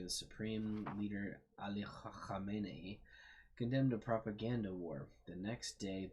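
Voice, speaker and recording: A man's voice: on the low side, a little hiss or hum, low-quality recording. A young man hosting a game video, reading text aloud and musing with fillers as he explores. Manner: thoughtful, trailing off with fillers. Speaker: gaming video host. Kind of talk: casual let's-play commentary, reading aloud.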